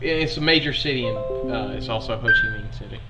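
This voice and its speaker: A man's voice: mid-range voice, quick pace, over loud music, low-quality recording. A young man with an Alabama accent teaching a history lesson in a chatty, folksy way to his students.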